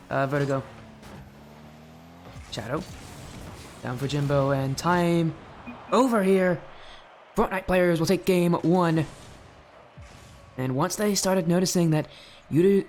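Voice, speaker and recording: High-voiced young male esports caster. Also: darkish tone, a little hiss or hum. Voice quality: high-pitched. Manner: chatty, rambling, replies to chat mid-play. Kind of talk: live game commentary.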